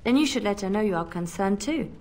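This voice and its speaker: A woman voices a young female bard spy for a fantasy game cutscene. She sounds gentle and earnest, with worry under her hope. Her voice sits at an ordinary middle pitch. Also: expressive, fast talker, close to an everyday voice. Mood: earnest, pressing. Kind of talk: video game cutscene acting.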